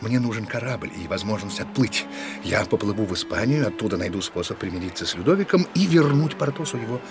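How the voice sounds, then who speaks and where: An actor playing a shrewd, courtly musketeer conspirator in a radio play, speaking with earnest, dramatic urgency. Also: male voice, on the low side, lively intonation, fast talker, music in the background. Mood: excited, urgent. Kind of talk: Russian radio play acting.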